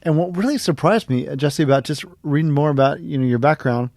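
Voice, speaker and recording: A man's voice: on the high side, quick pace, a little mellow, no echo, studio quality. A man hosting an interview casually, with an enthusiastic, admiring manner. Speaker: interview host.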